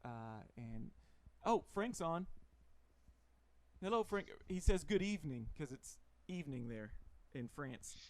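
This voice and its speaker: A man with a Texan accent hosting a casual livestream, relaxed and chatty, laughing often. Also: a little high, measured pace. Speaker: host.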